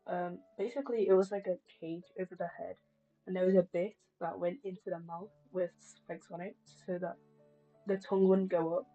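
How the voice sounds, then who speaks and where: A woman's voice: fairly low pitch, steady tone, moderate pace. A woman live streamer chatting casually over a game in a musing, wry, rambling way. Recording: slightly muffled stream, music behind.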